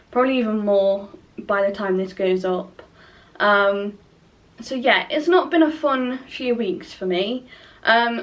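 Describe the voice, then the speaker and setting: A young woman with a Nottingham accent chats in a loose, rambling way, full of little fillers. Her voice sits slightly high for a woman. She is recorded at home, a little back from the mic. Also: recorded from a distance. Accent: Nottingham English. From England. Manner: chatty, rambling, lots of fillers. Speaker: vlogger. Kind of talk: casual vlog chat. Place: at home by a window.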